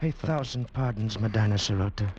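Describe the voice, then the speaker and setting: An actor playing a grave, superstitious blind male villager with a husky voice in a vintage radio drama. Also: lowish voice, normal speed, noisy background, natural voice. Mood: grave, certain. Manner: grave, archaic phrasing. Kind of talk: 1940s-50s radio drama acting. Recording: dull vintage radio broadcast recording.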